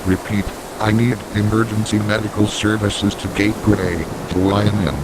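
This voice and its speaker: Casting: man as urgent cop at a storm scene, fairly low voice, clear wind and surf noise. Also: flat delivery, moderate pace, lightly acted. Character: urgent male police officer at a storm scene. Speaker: police officer. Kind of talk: amateur audio drama acting.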